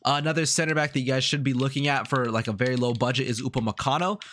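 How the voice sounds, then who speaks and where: A man's voice: mid-range voice, rapid-fire, a bit bright, a little hiss or hum. A man with a congested, sick-sounding voice, rambling casually through video game commentary with lots of fillers and repetition. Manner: rambling, repeats himself, many fillers. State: congested from a cold. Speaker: gaming YouTuber.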